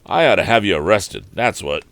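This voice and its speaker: An indignant, ragged storybook tramp voiced by a man reading aloud grumbles in rough, ungrammatical slang. The delivery is animated and complaining, with wide swings in pitch and a dry sound. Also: brisk, no room sound, heavily acted. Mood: angry, indignant. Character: angry ragged male tramp, gruff and complaining.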